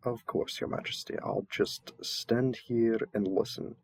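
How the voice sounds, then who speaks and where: Roleplay audio: polite, flustered male envoy, played by a man, stammering and deferential, very unhurried, dry sound. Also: on the low side, steady tone, gravelly, dry recording. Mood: polite, reserved. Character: flustered, polite young royal envoy mistaken for a servant.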